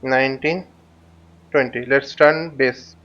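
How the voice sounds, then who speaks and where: A man giving an unscripted flight simulator tutorial, thinking aloud haltingly and often muttering to himself. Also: mid-range voice, fairly even intonation, a bit bright, lo-fi sound. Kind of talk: unscripted tutorial commentary while flying a simulator.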